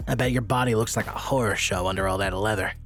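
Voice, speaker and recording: A man playing a tough, cool and dangerous character in an audio drama, with a measured delivery. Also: lowish voice, a bit bright, faint music behind, natural voice. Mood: cool taunting. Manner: measured, taunting.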